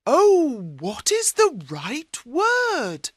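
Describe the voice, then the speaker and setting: A woman voicing a greedy, demanding man in a storybook, loud and insistent in manner. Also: high-pitched, wildly swooping pitch, drawn-out words, no room sound, studio quality, voice pushed up, heavily acted. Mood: frustrated. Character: Kasim, greedy demanding brother, grown man. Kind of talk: storybook character voice.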